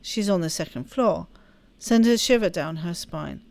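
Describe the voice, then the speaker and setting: A woman reading a story aloud as expressive narration for a game playthrough. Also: lowish voice, moderate pace, creaky. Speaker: let's play host reading game text aloud.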